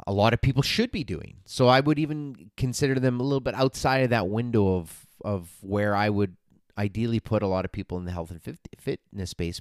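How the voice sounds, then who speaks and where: A man in his forties with a very low, smooth, resonant voice, talking as a fitness coach on his own podcast. He is earnest and encouraging, with plenty of fillers and restarts. The recording is dry and close. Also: no room sound. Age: 40s. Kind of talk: casual solo podcast talk.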